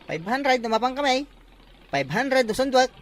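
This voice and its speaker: A man's voice: very high-pitched, big pitch swings, normal speed. A man with an Ilocano accent playing a teasing, sly villager in a comic drama.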